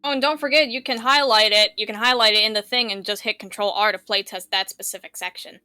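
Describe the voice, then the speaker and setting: Bright-voiced woman in casual tutorial chat. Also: mid-range voice, steady tone, fast, clear, resonant tone, dry recording. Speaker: co-presenter of a tutorial. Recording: music playing behind.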